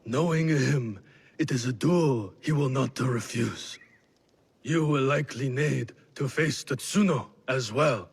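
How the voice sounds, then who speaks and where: An actor playing a weary, remorseful leader in a video game, speaking gravely with a husky, gravelly voice and halting delivery. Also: male voice, medium pitch, full character voice. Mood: grave, sorrowful. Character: weary, remorseful, solemn male leader doubting his cause. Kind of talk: dramatic video game acting.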